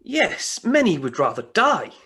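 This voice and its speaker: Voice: mid-range voice, wildly swooping pitch, unhurried, bright, forceful tone, full character voice. An actor playing an earnest, courteous charity collector in a radio play, polite and slightly hesitant.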